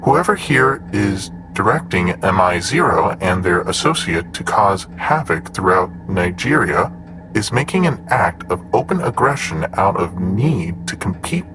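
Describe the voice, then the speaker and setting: A man playing a composed android assistant in an audio drama, speaking in a clinical, measured, matter-of-fact manner. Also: low-pitched, sing-song, over music, rough recording. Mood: clinical, informative. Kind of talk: scripted audio drama dialogue.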